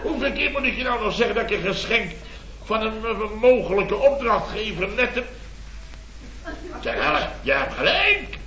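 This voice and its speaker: A man's voice: high-pitched, very animated, moderate pace, highs cut off, crowd noise. A roomy old radio comedy recording with an audience behind it. a pompous company boss with a raised voice, who sputters indignantly and repeats his words in exasperation. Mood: frantic, exasperated. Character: blustering, exasperated male boss of a building firm.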